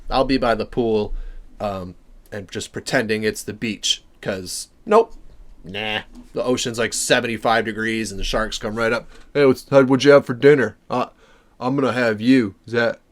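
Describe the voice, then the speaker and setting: A man's voice: lowish voice, moderate pace. An American man from Maine giving casual reaction commentary, rambling and jokey, often interrupting himself. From Maine, United States. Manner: animated, self-interrupting, jokey. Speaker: reaction video host.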